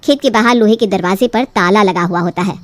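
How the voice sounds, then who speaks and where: A woman's voice: medium pitch, fairly quick, soft background music. A woman narrating a scripted horror fairy tale as a storyteller.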